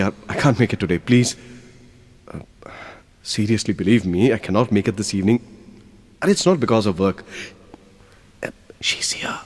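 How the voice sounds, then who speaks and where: A man's voice: deep voice, breathy edge, big-room sound. An actor with an Indian English accent playing a hesitant, emotional young man in an audio drama. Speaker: young man in a radio play.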